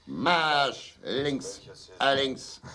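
An actor playing a gruff, boastful East German grandfather with a coarse, commanding manner in a grotesque radio play. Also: medium pitch, big pitch swings, very slow, bright, forceful tone, full character voice. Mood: barking commands. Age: older.

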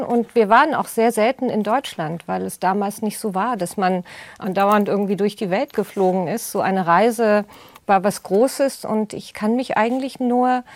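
A woman's voice: medium pitch, dry recording. Reflective older woman, dry close sound. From raised in the United States. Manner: reflective, thoughtful, pausing with fillers. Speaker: podcast guest. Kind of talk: conversational interview.

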